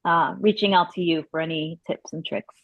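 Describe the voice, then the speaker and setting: A woman's voice: lowish voice, fairly even intonation, moderate pace, vocal fry, no echo. A woman chatting casually as a participant in an online group meeting. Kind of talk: casual chat. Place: online video call. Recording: dull lo-fi video call audio.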